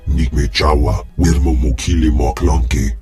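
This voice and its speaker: A man voicing a gruff, crude alien in a video game, with a raspy growl, mostly in an invented tongue. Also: a bass voice, little pitch movement, unhurried, a little mellow, faint music behind, full character voice. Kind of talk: video game creature acting.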